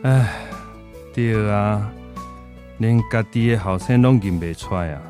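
A man's voice: on the low side, unhurried, music in the background, close to an everyday voice. A man with a Taiwanese accent acting a kindly, hospitable old shopkeeper grandfather in a radio drama. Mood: reflective.

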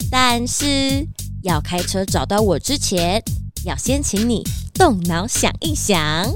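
A woman voicing a sly, gloating red fox master thief in a children's detective audio drama, taunting in a theatrical, mock-sweet way. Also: fairly high pitch.

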